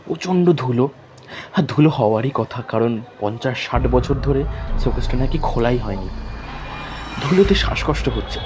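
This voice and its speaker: Man telling horror, clearly noisy recording. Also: mid-range voice, animated, a little fast. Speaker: horror story narrator. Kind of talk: dramatic first-person horror storytelling.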